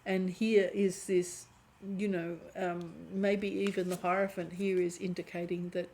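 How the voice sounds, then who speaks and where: A woman giving a chatty tarot reading to camera, hoarse and often clearing her throat as her voice fades. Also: lowish voice, little pitch movement, measured pace, dark tone, a little hiss or hum. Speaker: tarot reader.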